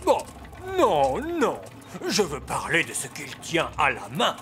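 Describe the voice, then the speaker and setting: Fussy old cartoon elf, bright forceful voice, lectures everyone, swoops up and down. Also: male voice, a little high, huge pitch swings, takes it slowly, a little hiss or hum, exaggerated voice. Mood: schoolmasterly. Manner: pompous, lecturing, emphatic. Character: wise old male elf, fussy, serious, easily exasperated.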